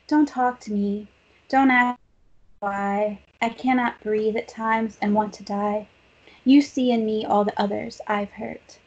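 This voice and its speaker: Slow-reading woman poet, dull lo-fi sound. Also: mid-range voice, steady tone, darkish tone. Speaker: poet reading own work. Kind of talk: poetry reading. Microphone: video call. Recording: dull, lo-fi video call audio.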